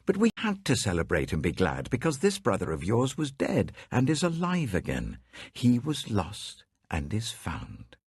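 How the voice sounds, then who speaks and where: A British man acts a tender old father in a scripture story. The voice is heavily husky and leaps up in heartfelt, emphatic swells of joy and forgiveness. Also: on the low side, huge pitch swings, moderate pace, a little mellow, dry recording, studio quality, voice pushed up, exaggerated voice. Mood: tender, pleading.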